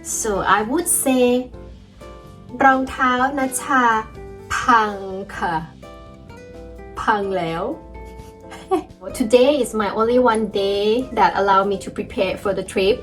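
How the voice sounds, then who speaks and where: Young woman, Thai accent, chatty and upbeat vlog teacher, soft music underneath. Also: mid-range voice, brisk, pauses often. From Thailand. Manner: cheerful, chatty, laughs often. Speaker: language teacher vlogger. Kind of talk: casual video lesson.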